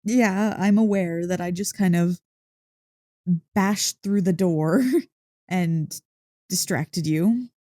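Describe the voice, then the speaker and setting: A woman voicing an excitable, affectionate dog-girl girlfriend in an intimate ASMR roleplay, playful and easily flustered. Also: lowish voice, slow, pauses often, no room sound, studio quality. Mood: excited, curious. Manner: playful, expressive, mock-indignant teasing. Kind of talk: ASMR roleplay, intimate one-sided dialogue. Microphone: close, dry microphone.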